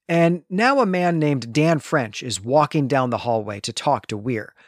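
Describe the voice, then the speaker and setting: A man's voice: mid-range voice, no echo, clean studio sound. A man co-hosting a podcast, talking through a story in an articulate, explanatory way. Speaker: podcast host. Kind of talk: literary discussion and recap.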